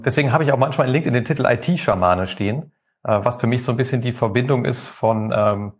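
A man from the Rhineland introduces himself as an agile coach on a panel, relaxed and chatty, pausing with fillers. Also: mid-range voice. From Rhineland, Germany. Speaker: agile coach and company founder.

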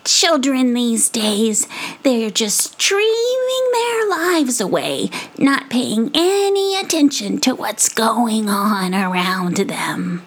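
A woman's voice: a little high, very animated, takes it slowly, slightly rough, heavily acted. A woman voicing a grumpy female possum who scolds and disapproves, in a storybook reading. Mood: scolding.

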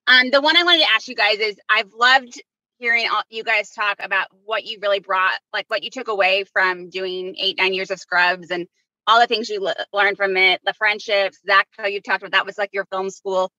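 A woman's voice: medium pitch, fairly even intonation, normal speed, sharp tone, dry recording, low-quality recording. A woman guest on a podcast, talking in an earnest, chatty way. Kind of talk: casual chat.

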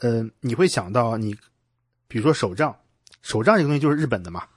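A man's voice: mid-range voice, lively intonation, fast, breathy edge, no room sound, studio quality. A man hosting a casual podcast conversation, explaining his reasoning step by step and often checking for agreement. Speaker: podcast host.

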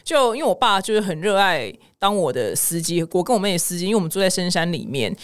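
A young woman with a Taiwanese Mandarin accent chats casually as a podcast host, telling stories in an animated, exclaiming way and acting out dialogue. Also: fairly low pitch, fast talker, smooth. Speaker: podcast host of a weekly news show. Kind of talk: casual chatty storytelling.

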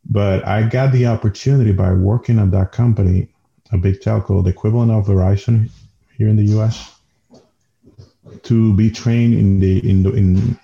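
A man's voice: deep voice, gravelly, dark tone, highs cut off. A man from the Dominican Republic, a native Spanish speaker with a Dominican accent, telling his story casually as a podcast guest and often restarting phrases.

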